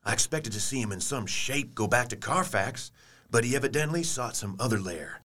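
An actor playing a grave, earnest young gentleman giving a report in a theatrical audio drama. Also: mid-range voice, expressive, normal speed. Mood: grim.

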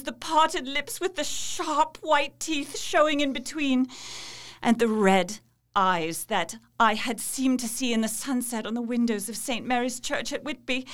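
An actress playing an anguished, devout Victorian wife, recounting her ordeal in a deliberate, theatrical dramatic monologue. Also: sits high, fairly bright tone.

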